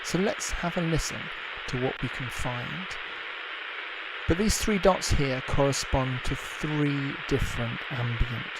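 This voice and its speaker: A man hosting a casual live demonstration, explaining things in a measured way. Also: fairly high pitch, poor audio. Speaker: livestream host. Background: music.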